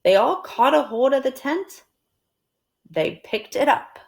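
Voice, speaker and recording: A woman reading a children's storybook aloud at storytime, expressive and deliberate in her phrasing. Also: high voice, gravelly. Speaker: storytime reader.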